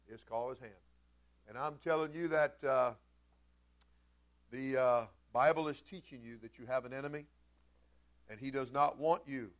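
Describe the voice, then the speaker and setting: A man preaching a sermon as a pastor, folksy and informal, moving between conversational storytelling and emphatic, animated delivery. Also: mid-range voice. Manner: animated, swinging from quiet conversational asides to loud emphatic shouts. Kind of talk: Pentecostal-style sermon with storytelling.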